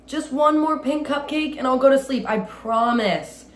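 A woman voicing an excitable, pink-obsessed little girl from a storybook, exclaiming and pleading in a whiny, drawn-out way. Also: high voice, pitched above the performer's own voice, close to an everyday voice. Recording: roomy echo, noticeable background noise.

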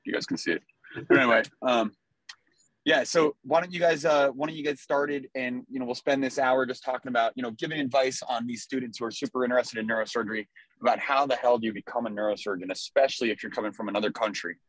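Quick, chatty man hosting a webinar from his car, dry close sound. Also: medium pitch, steady tone, a bit bright, dry recording, rough recording. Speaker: webinar host. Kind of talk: casual introduction. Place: car driving through a city.

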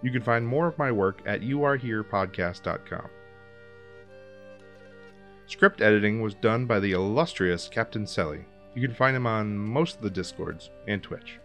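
A man's voice: fairly low pitch, moderate pace, fairly bright tone, soft background music. A man reading scripted podcast credits as the show's producer.

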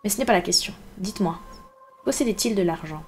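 A woman voicing a genial, composed country doctor in a storybook, speaking in a courteous and measured way. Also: mid-range voice, darkish tone, faint music behind, natural voice. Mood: measured, probing.

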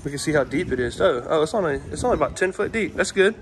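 A patient, encouraging father talks through instructions while filming on his phone outdoors on a lake dock. His voice sits a touch high for a man. Clear wind noise rushes across the phone mic the whole time. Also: animated. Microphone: phone held while filming.

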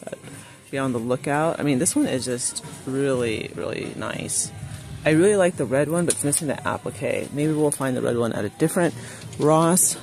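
A woman's voice: rumbling low, animated, moderate pace, a little mellow, faint music behind. A woman narrating a casual shop-with-me video, chatting to viewers and reading out prices as she browses a store. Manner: chatty, reads out prices, addresses viewers as you guys. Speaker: shopping vlogger. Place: large retail store, indoors. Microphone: phone held while filming, speaker off camera. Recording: phone audio with clear store noise.

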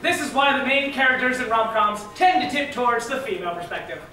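A young woman on stage playing a brisk, authoritative scientist, presenting rules in a projected, comic lecture style. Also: fairly high pitch, animated, pushed and bright. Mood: brisk lecturing. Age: young adult. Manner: declarative, projected stage speech. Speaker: actor in a stage comedy. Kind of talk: theatrical comic lecture delivery. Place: large indoor theatre stage. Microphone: far camera. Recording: echoey theatre, distant mic, noisy.

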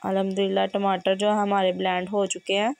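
A woman with a hoarse, fevered voice, hosting a home cooking tutorial and giving steady step-by-step instructions in a kitchen. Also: medium pitch, fairly quick. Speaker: cooking channel host.

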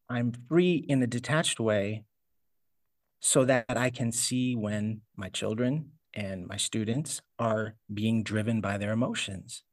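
A man's voice: medium pitch, no room sound. Reflective man, dry close mic. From United States. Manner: thoughtful, searching, many restarts. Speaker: guest, homesteader and teacher. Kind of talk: reflective interview answers.

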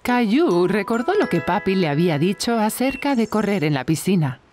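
Very animated woman storyteller. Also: medium pitch, normal speed, faint music behind. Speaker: narrator. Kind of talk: scripted children's story narration.

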